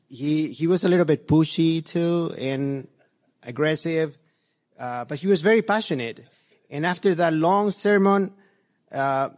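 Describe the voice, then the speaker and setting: A middle-aged man from Chile, a church elder giving an earnest, halting reflection to his congregation, with many fillers and restarts. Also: fairly high pitch.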